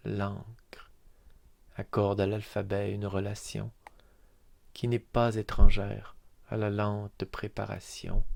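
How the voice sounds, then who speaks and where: Man, poet, gravelly low voice, flat, slow, grave recitation. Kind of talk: poetry reading.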